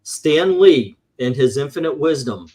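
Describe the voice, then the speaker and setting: A man's voice: mid-range voice, expressive, unhurried, warm tone. A man reviewing comic books in casual, opinionated panel chat, rambling and swearing, acting out his exasperated reactions.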